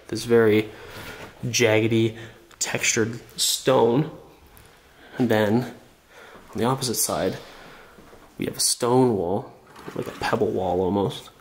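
A young man with a cold and a lowish voice narrates his tour of an abandoned house. He is enthusiastic and chatty, full of fillers. He speaks into a small camera held at arm's length indoors. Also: a little hiss or hum. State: sick with a cold. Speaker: urban explorer vlogger. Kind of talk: casual walkthrough narration.

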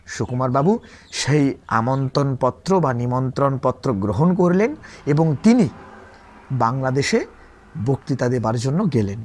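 A man's voice: mid-range voice, animated, a little slow, long pauses, darkish tone. A man teaching a lesson, retelling a story in an explanatory way, repeating and rephrasing points and posing rhetorical questions.

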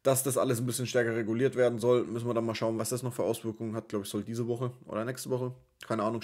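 A young man with a slightly hoarse voice from a cold, hosting an offhand, jargon-heavy crypto chart analysis from his desk. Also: medium pitch, a little mellow. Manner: brisk, offhand trader talk full of jargon and fillers. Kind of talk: casual market analysis over screen-shared charts.

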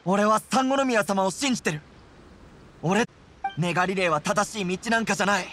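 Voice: medium pitch, moderate pace, fairly bright tone, natural voice. A performer playing an earnest, loyal and fiery young male resistance general in an anime game, speaking resolutely. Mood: defiant. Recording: prominent music under the voice.

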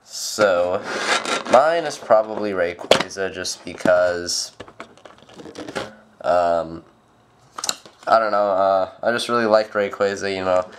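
A teenage boy with a congested, stuffed-up nose, rambling through a pack opening with plenty of fillers. His voice sits low and his talk is slow. The home camera recording carries clear background noise. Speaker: channel host. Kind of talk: casual card pack opening. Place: home room at a table. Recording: noisy home camera audio.

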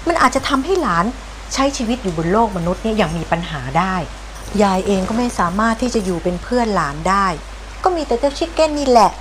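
A woman's voice: on the high side, very animated, fast talker, noisy background. An actress playing a stern, bossy old grandmother who scolds dramatically. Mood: scolding. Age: older. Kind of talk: television drama acting. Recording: music score behind.

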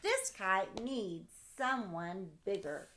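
A woman's voice: mid-range voice, animated, fairly bright tone, a little hiss or hum. Woman reading as a firm father saying no. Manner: slow, emphatic, raised voice. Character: firm father, grown man, refusing his son.

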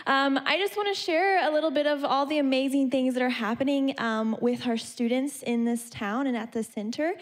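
Soft-spoken young Canadian woman. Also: high-pitched, normal speed, hardly any pauses. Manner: soft-spoken, earnest, many ums. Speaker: youth center director. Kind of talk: guest talk at church. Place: church sanctuary stage. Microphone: handheld mic.

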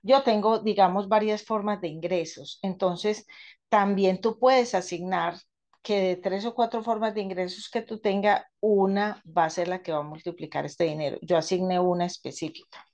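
Woman explaining in a halting way. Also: mid-range voice, steady tone, a little mellow. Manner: halting, explanatory, repeats and rephrases herself. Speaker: radionics therapist demonstrating a quantum table. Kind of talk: informal spoken tutorial with personal anecdotes. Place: indoors at a table, seated.

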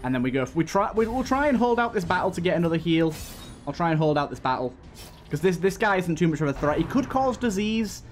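A man's voice: fairly high pitch, big pitch swings, fast talker. A man giving casual video game commentary, thinking aloud and often repeating himself. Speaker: let's play host. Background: game music.

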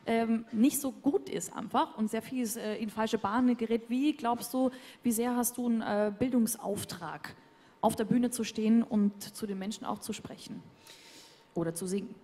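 A woman's voice: on the high side, moderate pace, warm tone, in a large hall. A woman interviewer on a studio stage, asking long, probing questions into a handheld mic. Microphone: handheld microphone.

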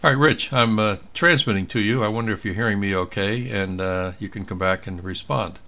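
Low-voiced man giving a casual tutorial. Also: fairly quick, pushed and bright. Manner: thinking aloud while working. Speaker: amateur radio operator demonstrating software. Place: indoors at a computer.

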